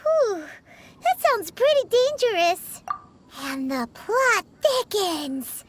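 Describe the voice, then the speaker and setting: A woman voicing a tiny, excitable, greedy and chatty girl companion in a video game, with a squeaky childlike voice and an exclaiming manner. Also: slow pace, a little hiss or hum, heavily acted. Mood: puzzled, wary. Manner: speaks of self in third person, exclaiming. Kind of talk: video game cutscene voice acting.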